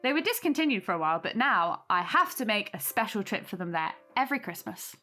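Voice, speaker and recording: A modest, caring aunt in an audio drama. Her voice sits at an average pitch. She speaks politely and gently, always keen to put others at ease. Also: very animated, quick pace, soft background music. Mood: chatty. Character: gentle young aunt, modest, eager-to-please hostess.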